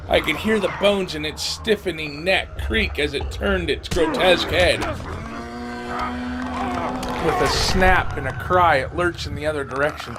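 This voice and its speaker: A man playing a wounded, frightened survivor, telling his story in a dramatic, halting first-person horror audio drama delivery. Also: on the high side, very noisy, close to an everyday voice. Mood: urgent. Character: terrified wounded male survivor of a zombie horde.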